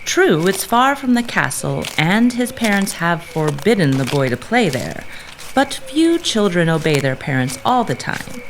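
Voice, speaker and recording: A woman with a fairly low voice narrates an audio drama. She tells it in a measured, suspenseful storyteller's style over faint background ambience. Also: big pitch swings, a little hiss or hum, poor audio.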